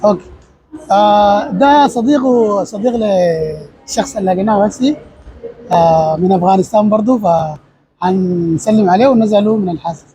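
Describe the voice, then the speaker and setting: Fast-talking man from Sudan, high and mellow. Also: breathy edge, poor audio. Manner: halting, friendly, restarts often. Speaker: interviewer. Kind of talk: casual street interview. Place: busy train station.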